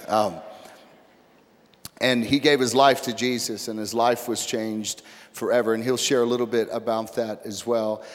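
A man, the church's pastor, introducing a guest speaker in an earnest, halting way with many restarts. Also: mid-range voice. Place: large church sanctuary. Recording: long reverb of a large hall.

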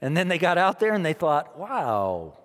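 A man preaching a sermon as pastor, emphatic, repeating his phrases and swinging between quiet and loud. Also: fairly high pitch, very animated, moderate pace, big-room sound. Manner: emphatic, repeats phrases, rises and drops in loudness.

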